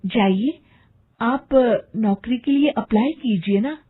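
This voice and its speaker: Woman playing a gentle, high-voiced young bride. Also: slow pace, slightly rough, narrow, tinny audio. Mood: surprised, kindly. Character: polite, caring educated young bride.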